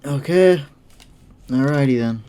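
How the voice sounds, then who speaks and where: A woman's voice: rumbling low, takes it slowly, heavy background noise. A woman streaming a game and reacting casually in short offhand remarks. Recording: loud game music, keyboard clatter. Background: game music, keyboard typing.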